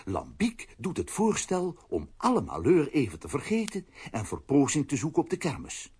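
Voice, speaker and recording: A man's voice: a little high, huge pitch swings, normal speed, mellow, breathy edge. A man narrating a scripted children's story in a radio play.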